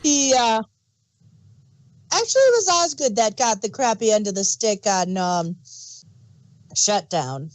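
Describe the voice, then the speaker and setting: A woman's voice: fairly high pitch, animated, unhurried, pauses often, no echo. A woman chatting casually as a podcast host, explaining background details like an enthusiastic fan. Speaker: audio drama review podcast host. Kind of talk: casual review chat.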